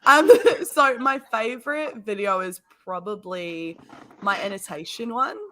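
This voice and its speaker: A woman's voice: mid-range voice, unhurried, sharp tone. A woman from New Zealand with a New Zealand accent, a chatty, self-deprecating guest on a casual live chat.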